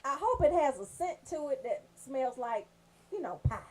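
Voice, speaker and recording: A woman's voice: high voice, normal speed. A woman hosting a comedy radio show, delivering raunchy monologue and banter in a brash, emphatic way. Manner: brash, animated storytelling with emphatic check-ins.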